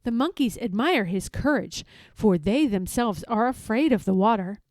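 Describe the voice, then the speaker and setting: A woman reading a children's story aloud in an animated, theatrical, expressive way. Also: on the high side, moderate pace, no echo, studio quality. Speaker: storybook reader.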